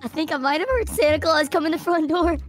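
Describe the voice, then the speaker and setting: A man voicing an excitable, panicky young boy, frantically repeating himself in improvised gaming commentary. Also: extremely high pitch, quick pace, soft background music. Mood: curious.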